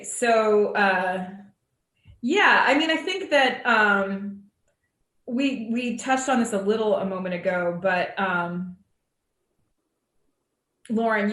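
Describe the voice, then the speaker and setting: A woman's voice: mid-range voice, a bit bright. A woman moderating a casual panel discussion over a video call, thinking aloud in a hesitant way. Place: remote video call in an echoey room. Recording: roomy, echoey video call audio.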